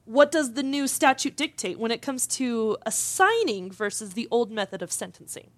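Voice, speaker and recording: A woman's voice: a little high, animated, moderate pace, no room sound, natural voice. A woman acting as an inquisitive, down-to-earth broadcast interviewer in a fiction podcast. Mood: curious, engaged. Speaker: talk show interviewer. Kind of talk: conversational scripted interview acting.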